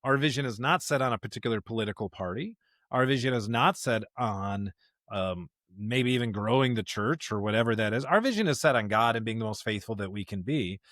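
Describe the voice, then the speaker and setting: A man's voice: on the low side, expressive, fairly quick, fairly bright tone, dry recording, clean studio sound. A man co-hosting a conversational podcast discussion of scripture, speaking earnestly and repeating phrases for emphasis. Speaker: podcast co-host.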